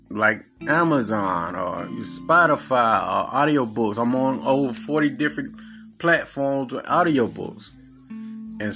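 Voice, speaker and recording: A man's voice: mid-range voice, a bit bright. A man in his forties with a Southern accent, a podcast host talking in a blunt, profane, earnest monologue. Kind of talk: casual monologue.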